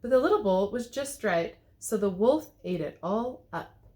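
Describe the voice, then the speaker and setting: A woman narrates a children's fairy tale in an expressive storytelling manner. Her mid-pitched voice rises and falls a great deal. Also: moderate pace. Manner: expressive, clearly paced storytelling. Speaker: teacher reading to second graders. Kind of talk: storybook read-aloud narration.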